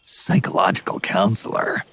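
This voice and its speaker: A man playing a weary, sardonic veteran soldier in a video game, terse and dry with a rough rasp. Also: moderate pace, a bit bright, lightly acted. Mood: skeptical. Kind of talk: video game cutscene voice acting.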